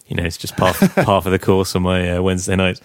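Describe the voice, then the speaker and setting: A young man hosting a casual podcast, his voice croaky, chatting, teasing and laughing often. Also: sits low, fairly even intonation, quick pace, no echo, clean studio sound. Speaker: podcast host. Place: flat.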